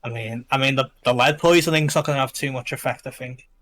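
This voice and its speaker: A man's voice: medium pitch, fast, a bit bright. Young man with a Liverpool accent. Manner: informal, slangy. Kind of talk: casual chat.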